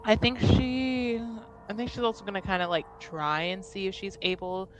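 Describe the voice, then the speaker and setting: A woman playing a tabletop roleplaying game, talking casually and haltingly in slangy, improvised phrases. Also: mid-range voice, a little hiss or hum, poor audio. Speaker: tabletop RPG player.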